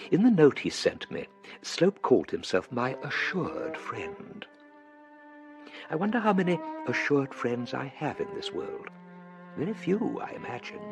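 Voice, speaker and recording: An actor playing a gentle, self-doubting elderly English clergyman in a period radio drama, husky and hesitant, musing courteously. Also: mid-range voice. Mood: musing, uneasy. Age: older.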